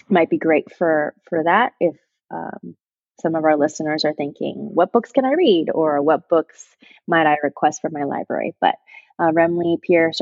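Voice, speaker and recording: A woman's voice: low voice, darkish tone, no echo. A woman hosting a casual podcast conversation, putting questions to her guests, on a somewhat dull microphone. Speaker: podcast host.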